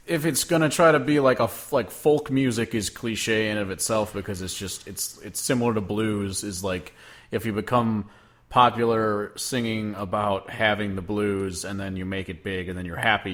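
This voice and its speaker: A man's voice: lowish voice, fairly bright tone. A man co-hosting a casual podcast chat, fired-up and ranting, swearing freely. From Northern US. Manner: fired-up, swears a lot, rants. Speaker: podcast co-host.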